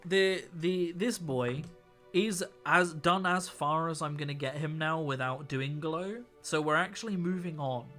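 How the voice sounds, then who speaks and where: A man's voice: fairly high pitch, unhurried, sharp tone, faint music behind. A young man live-streaming miniature painting, chatting in a rambling way with a voice worn out from a week of talking. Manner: rambling, chatty, restarts sentences. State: tired. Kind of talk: casual live-stream chat.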